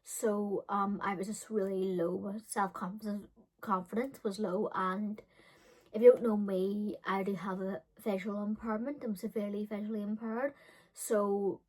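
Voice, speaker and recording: A woman in her thirties talks in a casual vlog storytelling style. She rambles, pads her sentences with fillers and doubles back to correct herself. Her pitch sits in an average range for a woman. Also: steady tone, moderate pace, a little mellow, poor audio. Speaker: beauty and storytime vlogger.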